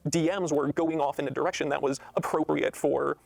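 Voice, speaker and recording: An articulate man with a fairly high, creaky voice explains his game design work with emphasis. The recording is very dry and close. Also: little pitch movement, fairly quick, a little mellow, dry recording. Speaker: game designer being interviewed. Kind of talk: explanatory promotional interview.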